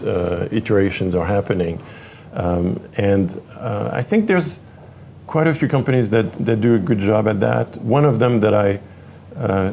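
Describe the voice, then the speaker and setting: A French man from Paris in his forties, a tech executive answering interview questions with many pauses and restarts. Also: on the low side, creaky, mellow, a little hiss or hum. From France (Paris). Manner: hesitant, many fillers and restarts. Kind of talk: interview conversation.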